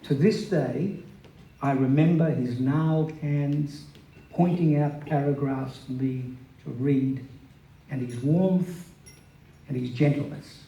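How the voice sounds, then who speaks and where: Man reading deliberately in an echoey hall. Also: medium pitch, steady tone, gravelly, dark tone, dull audio. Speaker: stage reader. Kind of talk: poignant dramatic reading of a memoir. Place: live literary event in a hall.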